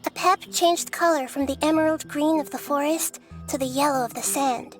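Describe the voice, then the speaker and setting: A woman voicing a gentle, young-sounding goddess who calmly and thoughtfully explains history. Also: high voice, moderate pace, close to an everyday voice. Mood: calm explaining. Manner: calm, thoughtful, explaining lore. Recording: game audio with faint background music.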